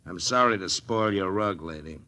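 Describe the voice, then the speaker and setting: A man's voice: low-pitched, moderate pace, sharp tone. An actor playing a cold, sneering gunman in a 1940s radio drama, talking in a menacing, taunting way. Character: cold, sneering gunman holding people at gunpoint. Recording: 1940s radio broadcast recording.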